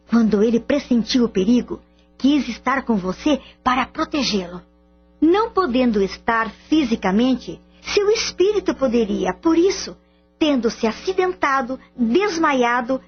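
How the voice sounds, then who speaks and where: An actress as an earnest, caring girlfriend with a very high voice. She speaks in radio drama style, with faint music and a dull, lo-fi sound. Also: close to an everyday voice.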